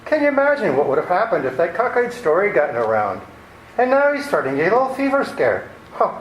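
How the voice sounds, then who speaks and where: Man as a blustering, high-pitched police lieutenant. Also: wildly swooping pitch, a little fast. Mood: exasperated. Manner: brash, incredulous. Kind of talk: amateur radio play acting. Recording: echoey room with faint noise.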